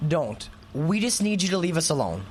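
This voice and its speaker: A woman's voice: deep voice, sing-song, moderate pace, darkish tone, slight background noise. A woman playing a worried, hesitant and earnest teenage schoolkid in a radio drama. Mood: pleading, defensive. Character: anxious, hesitant teenage schoolkid, sleuth's twin sibling.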